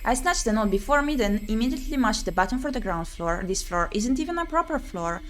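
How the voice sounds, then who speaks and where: A woman streamer reading a visual novel aloud in an expressive, theatrical way as she plays through it. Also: a little high, fast talker. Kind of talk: expressive read-aloud of visual novel text with playthrough commentary.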